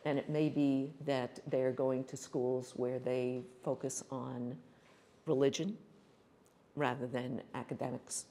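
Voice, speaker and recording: An older woman on a forum panel, explaining policy in an earnest, measured way with some restarts, speaking into a table mic in a hall. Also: a bass voice, fairly even intonation, dark tone. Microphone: table mic on a stand.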